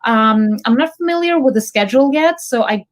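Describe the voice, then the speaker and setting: A woman's voice: a little high, lively intonation, moderate pace. A woman from Greece, a filmmaker guest, answering interview questions thoughtfully with many fillers.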